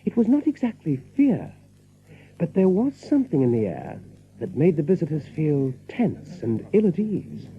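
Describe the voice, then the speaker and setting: An actor as a grave storyteller, giving scripted dramatic narration of an adventure tale. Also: male voice, on the high side, huge pitch swings, moderate pace. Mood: suspenseful. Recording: thin phone-like old tape, hiss.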